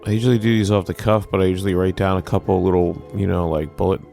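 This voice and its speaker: A man recording casual, off-the-cuff audio feedback as a listener, talking in a halting, unscripted way. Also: sits low, fairly even intonation, vocal fry, mellow, soft background music. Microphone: recording himself on a microphone.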